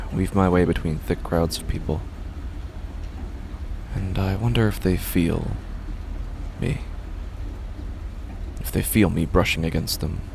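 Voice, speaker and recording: An actor playing a weary, confused man who clings to rigid rules, narrating a horror monologue in a halting, repetitive, gravelly voice. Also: low-pitched, background noise. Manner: hesitant, halting, brooding, repeats himself. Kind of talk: first-person horror audio drama monologue.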